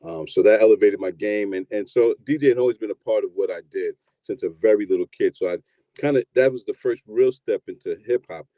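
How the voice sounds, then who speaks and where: Deep mellow new york guy in his 50s, laid back storytelling, dry close sound. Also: fairly quick, no echo, dull audio. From New York, USA. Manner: relaxed, rambling, repeats words at starts. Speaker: guest, producer and DJ. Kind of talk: casual interview storytelling.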